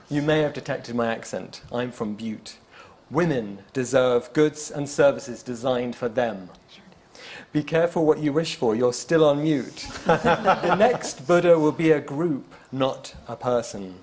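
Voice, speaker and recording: A man reading a playful poem built from repeated lines. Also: medium pitch. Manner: playful, lively reading with laughs. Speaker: event poet.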